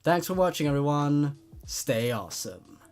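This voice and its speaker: A man with a fairly high-pitched voice hosts a horror story show. He gives casual, laid-back commentary. He often repeats his phrases to stress a point. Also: big pitch swings, moderate pace, over music.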